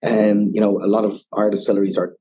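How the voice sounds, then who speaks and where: A man's voice: lowish voice, level tone, fast talker, warm tone, a little hiss or hum. A man with an Irish accent, a whiskey ambassador guest on a podcast, explaining at length and often saying "you know". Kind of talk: casual podcast interview.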